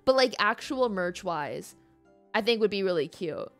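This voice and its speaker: A woman's voice: mid-range voice, expressive, normal speed. A woman livestreamer speaks in a mid-range voice. She chats casually with her audience, informal and playful, with the odd mild curse. Music plays in the background. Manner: informal, playful, mildly profane. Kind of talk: casual chat with live audience.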